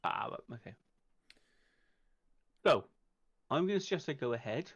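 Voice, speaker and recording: A man's voice: mid-range voice, big pitch swings, normal speed, a bit bright, slightly rough, lo-fi sound. A man narrating casually and talking at the table in a tabletop roleplaying game stream.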